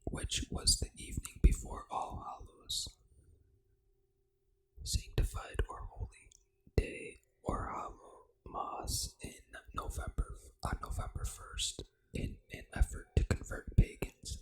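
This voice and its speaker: A video game ASMR host delivers everything in a hushed whisper. The voice is breathy and fully unvoiced, with no normal speaking tone. The reading is soft, deliberate and unhurried. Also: extremely high pitch, low-quality recording.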